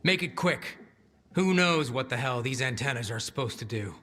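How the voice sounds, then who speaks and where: A man playing a gruff, blunt, foul-mouthed military commander in a video game cutscene. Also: mid-range voice, expressive, normal speed, heavy background noise, close to an everyday voice. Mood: gruff, dismissive.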